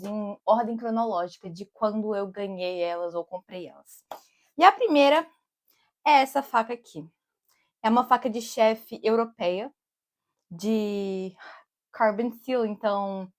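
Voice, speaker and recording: A young Brazilian woman from Brasília with that city's accent. She cooks and vlogs, and talks in a rambling, casual way outdoors in summer. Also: mid-range voice. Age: young adult. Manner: rambling and digressive, keeps saying "tipo" and "amigos". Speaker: cook and vlogger. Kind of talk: casual chatty vlog. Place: outdoors in summer, with bees around.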